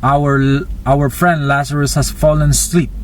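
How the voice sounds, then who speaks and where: Man playing slow, solemn Jesus. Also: on the high side, fairly even intonation, slight background noise, close to an everyday voice. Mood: instructive. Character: solemn, assured Jesus, adult male teacher. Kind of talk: dramatized scripture reading.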